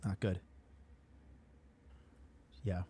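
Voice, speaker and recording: A man's voice: very slow, mellow. A man giving casual commentary in a blunt, profane, lecturing way.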